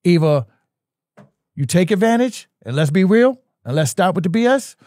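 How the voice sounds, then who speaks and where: An older man in his sixties, talking earnestly in casual podcast chat. His voice sits a bit high, and he repeats words as he works toward his point. The studio sound is dry and close. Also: sing-song, moderate pace, darkish tone, dry recording. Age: 60s. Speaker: podcast guest.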